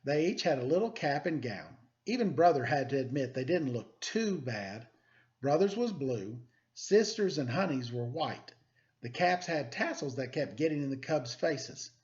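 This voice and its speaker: A man's voice: medium pitch, expressive, normal speed. A middle-aged man reading a children's story aloud and talking plainly to his young audience. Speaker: story reader, teacher. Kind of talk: animated children's picture book read-aloud, then casual address. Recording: dull lo-fi sound.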